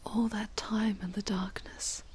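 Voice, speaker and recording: A woman playing a proud, ancient demoness in an ASMR audio roleplay, with a soft breathy voice and formal, theatrical phrasing. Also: medium pitch, level tone, measured pace. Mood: soft, pleading. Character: proud ancient demoness, long imprisoned, yearning for freedom.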